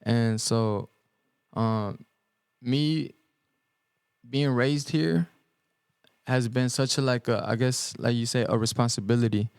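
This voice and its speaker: A young man born in El Salvador and raised in the US Midwest, a guest musician giving earnest, halting answers on a podcast, full of ums and restarts. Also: medium pitch, steady tone, gravelly, a little mellow, smooth, no echo, clean studio sound. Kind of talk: reflective podcast interview answers. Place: podcast studio.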